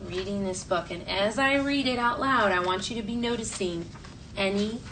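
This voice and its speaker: A woman teacher reading a storybook aloud to her class and prompting the children in clear, instructional phrases. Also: mid-range voice, moderate pace. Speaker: elementary school teacher. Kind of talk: classroom read-aloud and questioning. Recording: distant mic, faint classroom noise.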